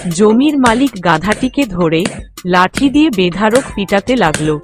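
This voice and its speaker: A woman's voice: mid-range voice, animated, unhurried. A woman narrating a scripted children's moral story. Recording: music bed under the voice.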